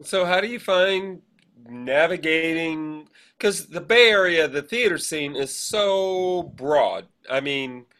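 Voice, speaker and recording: A man with an American accent, co-hosting a podcast in an informal, animated, chatty way. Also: a little high, slow. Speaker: podcast co-host. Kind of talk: casual chat.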